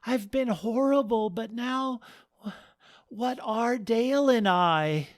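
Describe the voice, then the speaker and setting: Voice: mid-range voice, takes it slowly, pitched above the performer's own voice, lightly acted. A storyteller voicing an anxious young bride who stammers.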